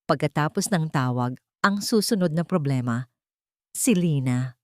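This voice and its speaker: A woman narrates an audio drama as its storyteller. Her voice sits a little low, and the delivery is scripted and dramatic. The recording is very dry. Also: expressive, unhurried, dry recording.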